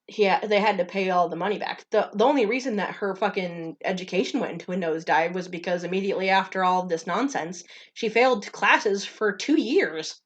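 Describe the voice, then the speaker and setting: A woman's voice: medium pitch, fairly quick, hardly any pauses. A young woman co-hosting a podcast in casual chat, blunt and swearing freely as she talks. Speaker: podcast co-host. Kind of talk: casual chat, reading stories aloud.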